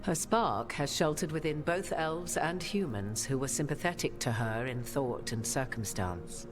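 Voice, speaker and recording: A woman playing a solemn human sorceress in a video game, speaking in measured, archaic, formal phrases. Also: a bass voice, lively intonation. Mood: measured, proud. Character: solemn human sorceress bearing an ancient goddess's memories. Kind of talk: dramatic video game dialogue. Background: prominent music.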